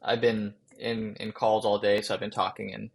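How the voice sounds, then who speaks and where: A man presenting a software workshop, talking casually through a screen-share with many fillers, his voice getting rough after a long day of calls. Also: on the low side, little pitch movement, normal speed. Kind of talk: screen-share coding tutorial.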